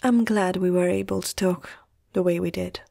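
A woman voicing a flirtatious, gentle female vampire noble in an intimate, teasing ASMR roleplay, soft and often close to a whisper. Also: lowish voice, fairly even intonation, normal speed, creaky. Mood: sleepy, hopeful.

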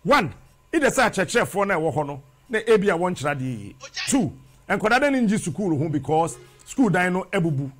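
Ghanaian guy on a studio show, lapel mic, high voice, preachy and animated, keeps repeating lines. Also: fairly quick, faint music behind. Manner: preachy and animated, repeats phrases rhythmically, sometimes sings lines. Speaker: TV host. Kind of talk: fiery studio monologue mixing Twi and English. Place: TV studio with brick wall.

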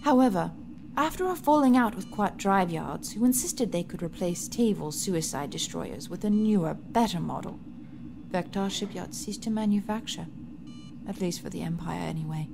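A woman's voice: fairly low pitch, lively intonation, moderate pace, vocal fry, music in the background. Composed, grave noble rebel leader, acted by a woman. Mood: grave briefing. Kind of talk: science fiction audio drama acting.

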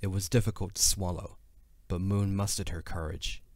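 A man's voice: low-pitched, level tone, normal speed, darkish tone, dry recording, clean studio sound. A man narrating a scripted fantasy audio drama as a dramatic storyteller.

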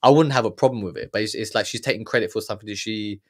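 Male host, 30s, lowish voice; blunt heated reaction commentary, stammering restarts, close studio mic. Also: rapid-fire. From Germany. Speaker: podcast host reacting to a documentary. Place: small room with purple lighting. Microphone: studio mic on boom, earphones.

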